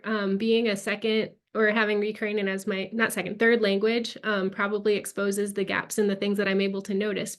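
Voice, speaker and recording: Hesitant young woman answering questions. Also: medium pitch. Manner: thoughtful, hesitant, many ums and restarts. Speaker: guest presenter answering questions. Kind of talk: academic Q&A answer.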